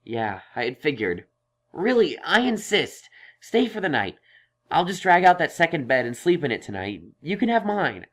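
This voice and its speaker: Gentle, awkward young stallion scholar played by a man. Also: on the high side, big pitch swings. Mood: friendly, insistent. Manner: halting, reassuring, self-conscious. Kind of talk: audio drama fan fiction reading.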